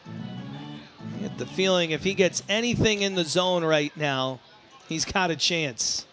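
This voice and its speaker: A man's voice: on the high side, measured pace, fairly bright tone, faint music behind. A man doing live baseball play-by-play as the announcer, in short, emphatic phrases. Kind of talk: live sports play-by-play commentary.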